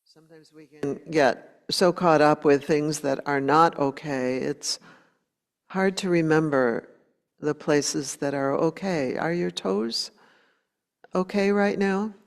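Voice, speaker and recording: A woman meditation teacher leading a guided meditation in a gentle, unhurried way, leaving long pauses between short phrases. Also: sits low. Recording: long hall reverb.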